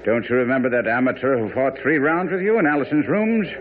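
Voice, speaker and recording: An actor playing a shrewd, commanding gentleman detective in a radio drama. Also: medium pitch, very animated, quick pace, close to an everyday voice. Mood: assured. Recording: muffled, faintly noisy old radio broadcast.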